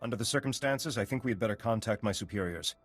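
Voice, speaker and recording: An actor playing a grave, weary, duty-bound Jedi scout in a video game. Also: male voice, on the low side, quick pace, breathy edge, faint music behind. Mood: relieved, dutiful. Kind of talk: video game cutscene acting.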